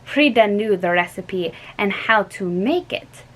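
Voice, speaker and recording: A woman's voice: medium pitch, expressive, measured pace, a bit bright. A young woman reading aloud and voicing a weary middle-aged male professor with a put-on German accent, speaking emphatically. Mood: earnest. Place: small bedroom indoors. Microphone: webcam at close range.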